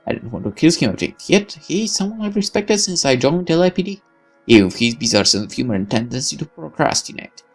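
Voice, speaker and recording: Animated, creaky-voiced male gaming host. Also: fairly high pitch, fast, faint music behind. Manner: theatrical, animated, swearing. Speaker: gaming video host reading narration aloud. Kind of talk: dramatic expressive read-aloud of game narration with asides.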